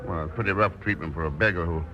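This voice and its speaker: An actor in 1940s radio style playing a steady, practical adventurer hero with an American accent, on a dull old recording. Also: male voice, deep voice, fast talker, faint music behind.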